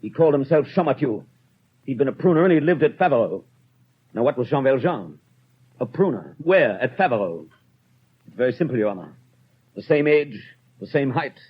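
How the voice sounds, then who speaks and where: A man's voice: mid-range voice, very animated, a little fast, long pauses, husky. A man playing a stern, rigid police inspector in 1930s radio drama style, with a clipped, formal, unbending manner. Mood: certain, matter-of-fact. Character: stern, rigid, self-punishing male French police inspector. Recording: dull old 1930s radio recording.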